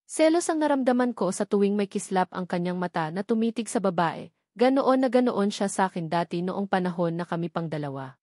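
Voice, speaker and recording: A woman's voice: mid-range voice, expressive, a little fast, a little mellow, dry recording, studio quality. A Filipino woman reading a scripted first-person story as the narrator of an audio novel.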